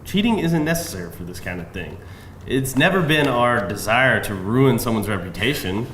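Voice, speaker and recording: Smooth, defensive male recruiter played by a man at a table read, animated delivery, roomy sound with faint noise. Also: mid-range voice, moderate pace, natural voice. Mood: defensive, persuasive. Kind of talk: live screenplay table read. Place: live table read before an audience.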